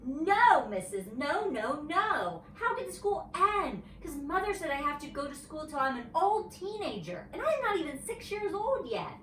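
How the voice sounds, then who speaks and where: A woman's voice: high-pitched, big pitch swings, unhurried, hardly any pauses, a bit bright, voice pushed up, full character voice. A woman voicing a feisty, dramatic five-year-old girl telling a storybook tale with childish, emphatic indignation. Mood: alarmed, indignant. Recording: roomy, distant mic, faint noise.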